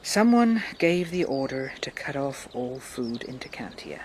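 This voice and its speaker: A blunt, resentful cook, faint noise. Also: male voice, medium pitch, big pitch swings, a little slow, darkish tone. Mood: bitter. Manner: blunt, rustic, insolent. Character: blunt, resentful household cook, scornful of kings. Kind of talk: radio drama acting. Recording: outdoor nature sounds behind voice.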